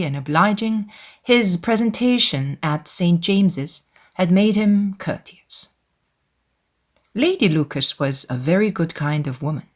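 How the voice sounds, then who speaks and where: A woman reading a classic novel aloud as an audiobook narrator, in a scripted literary storytelling style. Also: fairly low pitch.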